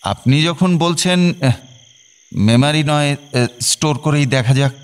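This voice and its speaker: A man's voice: a little high, unhurried, clean tone. A man playing a reluctant, pragmatic businessman in an audio drama. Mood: reluctant agreement.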